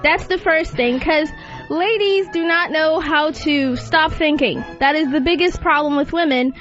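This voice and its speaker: A woman's voice: sits high, measured pace, a bit bright. A woman hosting a talk show in a casual, frank and unembarrassed way. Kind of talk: casual radio chat. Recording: dull lo-fi audio, faint music.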